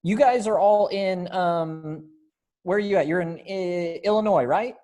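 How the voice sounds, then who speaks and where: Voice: mid-range voice, unhurried, warm tone. A readers theater director speaking casually while giving notes to performers in an online rehearsal. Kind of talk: casual directing over video call, stage directions.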